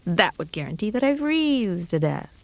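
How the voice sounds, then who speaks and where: A woman acting a playful, affectionate snake-woman in an intimate roleplay audio, teasing and cozy, often slipping into breathy whispers. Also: medium pitch, sing-song, normal speed.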